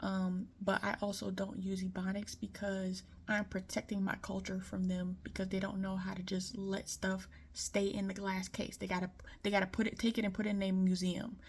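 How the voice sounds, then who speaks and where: A woman's voice: mid-range voice, completely flat delivery, moderate pace, few pauses, husky. Frank woman with light Southern accent. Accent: light Southern American. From Detroit. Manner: opinionated, frank, conversational. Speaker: commentator. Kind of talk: casual monologue.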